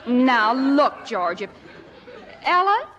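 Teasing wife and mother, high-pitched, animated, vintage radio comedy, reverberant hall. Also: extremely slow, dull audio, heavily acted. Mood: matter-of-fact. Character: wry, affectionate wife and mother. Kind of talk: 1950s radio comedy acting.

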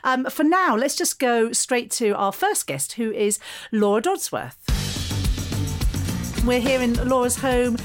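A woman's voice: a little high, measured pace, music in the background. Encouraging woman of fifty. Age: 50s. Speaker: podcast host. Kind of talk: casual interview. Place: a home, indoors.

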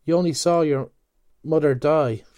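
A brash young medic with a flippant, teasing edge, put on by a male reader. The voice sits higher and louder than the reader's own, yet keeps a warm, mellow tone. It is recorded close and dry. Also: unhurried, no room sound, close to an everyday voice. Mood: mocking.

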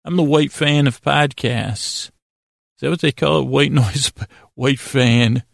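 A man hosting a sleep podcast in a casual, meandering monologue, halting and stammering with self-deprecating asides. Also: mid-range voice, vocal fry, dry recording, studio quality. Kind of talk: rambling, casual bedtime monologue.

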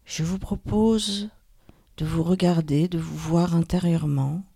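A woman guides a relaxation meditation in a calm, soothing manner, leaving long pauses. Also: lowish voice, gravelly, slightly rough. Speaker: yoga nidra guide, host.

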